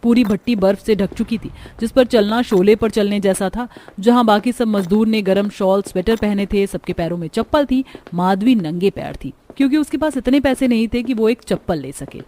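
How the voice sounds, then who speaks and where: A woman's voice: mid-range voice, normal speed, hardly any pauses, dark tone. A woman narrating a scripted cartoon story in a dramatic storytelling manner. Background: background music.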